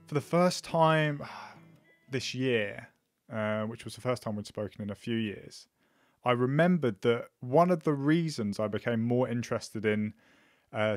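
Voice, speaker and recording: A man hosting a podcast, talking in a relaxed, hesitant way with many ums and restarts. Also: medium pitch, no room sound, studio quality. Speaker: podcast host. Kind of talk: casual conversational interview.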